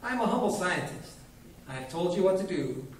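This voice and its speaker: A man's voice: fairly high pitch, expressive, normal speed, close to an everyday voice. A man putting on a pompous old quack. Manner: haughty, grandiloquent. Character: pompous old male quack head-reader. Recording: very echoey room, mic at a distance, faint noise.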